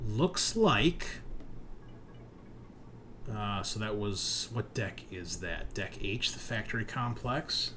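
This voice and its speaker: Casting: male game master, lowish voice, informal and joking narration, slight background noise. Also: big pitch swings, slow, long pauses. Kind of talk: casual narrating of an online tabletop roleplaying game.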